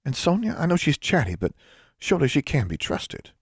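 A haughty elder sister in a fantasy audiobook, acted by a man, talks in a lifted, expressive voice. She is proper and precise, choosing formal words with an imperious air. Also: on the high side, brisk, slightly rough, dry recording, clean studio sound, pitched above the performer's own voice, exaggerated voice. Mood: arch, amused. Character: proper, imperious older sister, a teenage girl.

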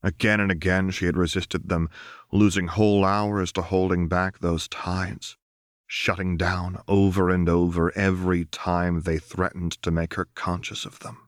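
A man narrating scripted fiction as an audiobook reader, in a soft, measured, solemn manner. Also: low voice, flat delivery, no room sound, clean studio sound.

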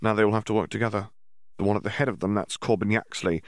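A man voicing an earnest teenage wizard boy who explains things quickly and sometimes lowers his voice. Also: steady tone, dry recording, clean studio sound, lightly acted. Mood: explaining. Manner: fast, hushed, hesitant at times.

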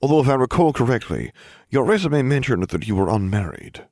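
A man's voice: mid-range voice, sing-song, fairly quick, darkish tone, no room sound, clean studio sound, voice pushed up. A man voicing a cheerful, unflappable old wizard headmaster with a genteel manner. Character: twinkly, scheming old male headmaster wizard.

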